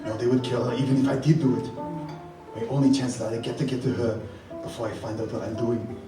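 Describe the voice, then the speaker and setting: A man playing a tough, deadpan action-hero father in a live comedic radio play. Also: mid-range voice, fast, lightly acted. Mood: earnest resolve. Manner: forceful, melodramatic, deadpan one-liners. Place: rehearsal hall live performance. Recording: echoey hall, distant, music underneath.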